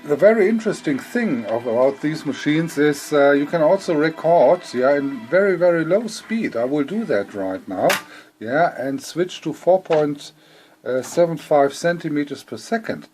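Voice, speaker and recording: Halting man with a mellow, darker voice. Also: a little high, lively intonation, faint music behind. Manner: hesitant, halting, repeats phrases for emphasis. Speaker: hobbyist presenter demonstrating vintage audio gear. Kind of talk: informal unscripted demonstration.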